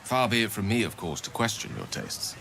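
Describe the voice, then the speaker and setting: A man's voice: fairly low pitch, expressive, a little fast, vocal fry, a bit bright, slight background noise. A man voicing a courteous, bookish wizard in a fantasy game, musing in a wry, florid way. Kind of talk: video game dramatic acting.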